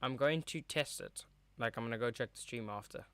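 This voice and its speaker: Young male streamer calling a match live; loose and chatty, crisp clear voice. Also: medium pitch, fairly quick, fairly bright tone, no echo. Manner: rambling, chatty, reacts to play as it happens. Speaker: streamer hosting a match watchalong. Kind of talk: casual live match commentary. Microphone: streaming mic with a cover on top.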